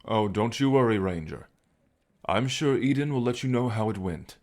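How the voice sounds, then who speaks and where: A man plays an amused onlooker god in a fantasy audio drama. His voice is moderately low, and he comments with sardonic, level-headed ease. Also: animated, normal speed, darkish tone. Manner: dry, sardonic. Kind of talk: audio roleplay acting.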